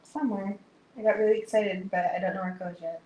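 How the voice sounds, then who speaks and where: A woman hosts a long livestream and chats with her viewers as she puts together a puzzle. She is excitable and talkative, and her voice has gone a little hoarse. Her pitch sits in the middle, and the room around her echoes over a faint hiss. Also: fast. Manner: chatty and excitable, talking to viewers. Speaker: livestream host. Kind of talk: casual chat while doing a jigsaw puzzle.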